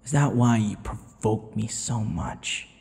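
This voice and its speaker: Man acting a slow, gravelly, possessive vampire lover. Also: a little mellow, big-room sound. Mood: taunting. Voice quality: low, gravelly. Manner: slow, breathy murmurs dropping into whispers, mocking endearments. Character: possessive, teasing male vampire lover, smug and menacing. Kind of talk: ASMR audio roleplay.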